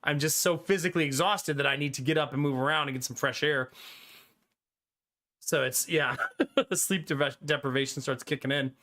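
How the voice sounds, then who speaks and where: A young adult man streaming live, chatting casually with his viewers, animated and talkative, often laughing. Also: a little high, normal speed.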